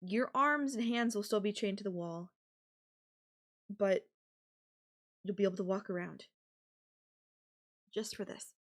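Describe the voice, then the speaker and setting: Slow-talking, doting yandere girlfriend, acted by a woman. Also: medium pitch, fairly even intonation, a bit bright. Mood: doting, gentle. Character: possessive yandere girlfriend, sweet, doting, unhinged captor. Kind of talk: intimate roleplay audio, spoken to the listener.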